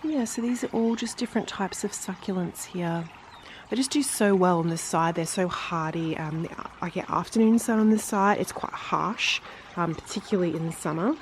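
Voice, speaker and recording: Slightly nasal, lower-pitched woman vlogger. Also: moderate pace, slight background noise. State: recovering from illness, sinusy. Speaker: gardening vlog host. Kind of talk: casual garden tour vlog. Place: outdoors in a garden.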